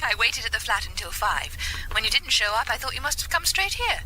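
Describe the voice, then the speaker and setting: High, animated young English wife. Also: female voice, brisk, soft background music, heavily acted. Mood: affectionate. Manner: teasing, light. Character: young wife, playful and affectionate. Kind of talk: British radio drama acting. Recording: radio drama telephone scene, clearly noisy.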